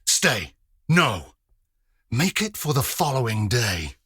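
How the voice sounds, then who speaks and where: An actor playing a coldly vengeful, implacable man with intense, deliberate delivery in an audio drama. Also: medium pitch, big pitch swings, pushed and bright, breathy edge, no room sound, studio quality, full character voice. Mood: urgent, secretive.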